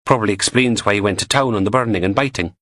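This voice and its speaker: A man's voice: lowish voice, fast, fairly bright tone, no room sound, studio quality, close to an everyday voice. A man voicing a playful, teasing young goth woman working as a lab technician in an audiobook.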